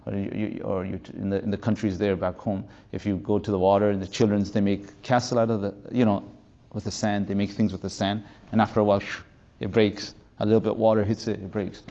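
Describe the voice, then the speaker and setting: A man in his fifties teaching a religious class at a quick clip, his voice low and mellow. He rambles through stories and drops in Arabic and Urdu terms. The recording sounds dull and lo-fi. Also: little pitch movement. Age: 50s. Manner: rambling, anecdotal, mixes in Arabic and Urdu terms, recites verses fast. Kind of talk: informal religious lecture.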